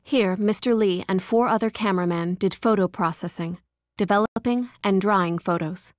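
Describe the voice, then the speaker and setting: A woman's voice: medium pitch, moderate pace, no echo. Woman narrating a documentary, dry studio sound. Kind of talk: scripted narration.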